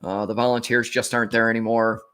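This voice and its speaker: A man in his late forties with an American accent, talking shop casually as an interview host, thinking aloud and restarting his phrases in a halting, reflective way. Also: medium pitch, flat delivery, fairly bright tone, clean tone. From upstate New York, United States. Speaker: podcast host and veteran firefighter.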